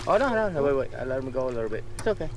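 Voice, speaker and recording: A man's voice: mid-range voice, big pitch swings, rapid-fire, noisy background. A man chatting casually and cheering others on while fishing from a small boat on a lake, picked up by a boat-mounted camera. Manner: encouraging, playful calls. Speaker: vlogger's husband. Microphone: action camera mounted on the boat.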